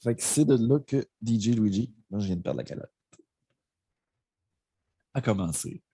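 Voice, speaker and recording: A relaxed man with a Quebec French accent rambles through a story as a guest on a livestream. His voice is somewhat low, with a mellow, husky grain and a dry close sound. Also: moderate pace, dry recording. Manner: laid-back rambling storyteller. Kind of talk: casual livestream chat.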